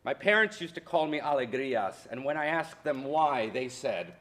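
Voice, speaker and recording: A man's voice: mid-range voice, animated, normal speed, a bit bright, lightly acted. A man playing a reflective college history professor who reminisces about lost parents in a staged-reading monologue. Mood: wistful, earnest.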